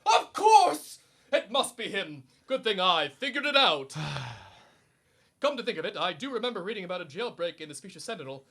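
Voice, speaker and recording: Man as a bright, pompous amateur superhero. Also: high-pitched, big pitch swings, normal speed, exaggerated voice. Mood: alarmed, heroic. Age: young adult. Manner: grandiose and flustered, declaiming. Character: pompous, needy, suburban amateur male superhero. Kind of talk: comedic superhero radio serial acting.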